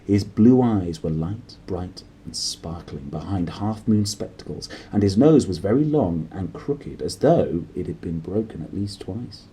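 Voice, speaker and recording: Animated, low-voiced man reading a story. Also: a little slow, hardly any pauses, low-quality recording. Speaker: storybook reader. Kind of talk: expressive children's audiobook narration.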